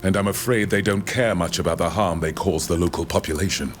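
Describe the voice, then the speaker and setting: A man playing a courteous, learned foreign scholar in a video game, speaking in measured, formal, precise phrases. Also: low voice, soft background music, natural voice. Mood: courteous, concerned. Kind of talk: video game dialogue acting.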